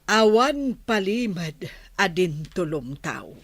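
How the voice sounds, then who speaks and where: Slow-talking, reproachful older Filipino mother. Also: on the low side, big pitch swings, husky, heavily acted. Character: older mother, probing and reproachful toward her grown son. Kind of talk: radio drama acting.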